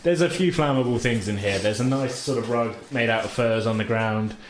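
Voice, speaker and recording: A man's voice: mid-range voice, a little fast. A man casually narrating a tabletop role-playing game as game master, in an easygoing, informal way. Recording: echoey room, distant mic, background noise.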